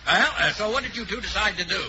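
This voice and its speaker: Police lieutenant, man acting, brusque, high lively voice, hissy old radio. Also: fast, natural voice. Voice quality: brusque questioning. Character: brisk male police lieutenant. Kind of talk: 1940s radio comedy. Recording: dull lo-fi old radio with hiss.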